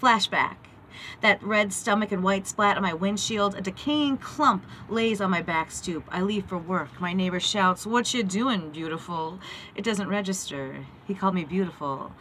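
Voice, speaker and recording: A middle-aged woman poet reading her own verse aloud with deliberate, dramatic phrasing, recorded on a phone held at arm's length. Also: mid-range voice, a bit bright, a little hiss or hum.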